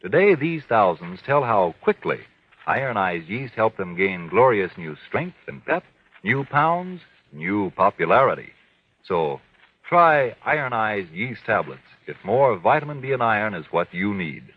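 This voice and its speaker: A formal male announcer delivers narration and sponsor copy in old radio style. His low, husky voice is emphatic and swings widely in pitch, on a muffled recording. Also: big pitch swings, measured pace. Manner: formal, emphatic, polished salesmanship.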